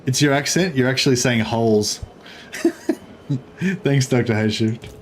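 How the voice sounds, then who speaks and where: A man with an Australian accent, chatting in a rambling, relaxed way while commenting on his gameplay stream. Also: mid-range voice, a little slow, background noise. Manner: rambling, chatty, self-correcting.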